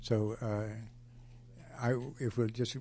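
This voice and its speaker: A man emcees a community gathering and introduces the next parts of the program. His voice is mellow and warm at a middle pitch, and his announcements stall on uhs and restarts. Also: little pitch movement. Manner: rambling, halting announcements. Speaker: event host.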